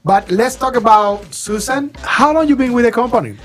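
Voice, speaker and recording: High-pitched male bilingual morning radio host. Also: normal speed. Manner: animated announcer delivery, switches between Spanish and English. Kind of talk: upbeat bilingual morning radio show hosting. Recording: faint music bed behind. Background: faint music.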